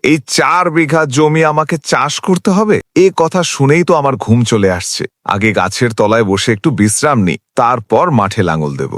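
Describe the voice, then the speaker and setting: A man's voice: medium pitch, moderate pace, few pauses, no room sound, clean studio sound, natural voice. A man voicing a lazy, simple village farmer in a cartoon story, with a whiny, reluctant manner. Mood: reluctant, sleepy. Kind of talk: dubbed cartoon dialogue.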